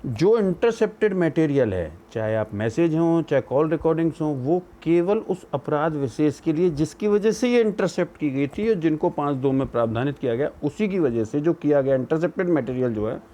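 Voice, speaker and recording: Indian male legal explainer, fairly high but soft, mellow voice, informal lecture delivery with English terms mixed in. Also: lively intonation, normal speed, hardly any pauses.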